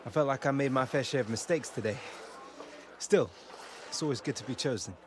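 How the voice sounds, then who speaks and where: A man's voice: medium pitch, fairly quick, natural voice. A man playing a humble young footballer in a video game cutscene. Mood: humble, pleased. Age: young adult. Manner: humble, easygoing. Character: modest, polite young male footballer. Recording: noisy background ambience.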